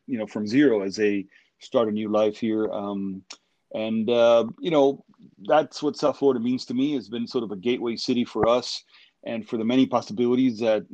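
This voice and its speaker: A man's voice: fairly low pitch, darkish tone, no room sound. A man born in Cuba and raised in Miami, answering interview questions conversationally, with reflective, hesitant phrasing. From born in Cuba, grew up in Miami from age six. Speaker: architect, podcast guest.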